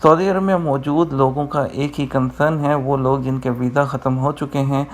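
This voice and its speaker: A man's voice: mid-range voice, fairly even intonation, moderate pace. A man presents an informal news update, explaining things directly to viewers.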